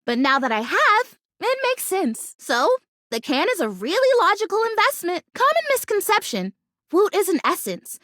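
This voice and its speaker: Game role: an earnest, optimistic young female houseplant, extremely high voice, lively intonation, gushing and chatty. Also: normal speed, no echo, studio quality, exaggerated voice. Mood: explaining eagerly. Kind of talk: animated video game character acting.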